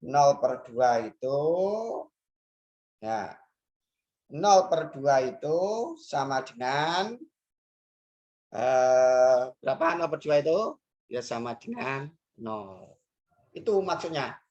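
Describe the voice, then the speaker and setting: A woman with a notably low voice explains a math lesson step by step. She asks rhetorical questions and fills her pauses with hesitant sounds. Her delivery is that of a teacher walking a class through worked examples. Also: animated, darkish tone, lo-fi sound. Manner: talks through steps aloud, asks rhetorical questions, frequent fillers.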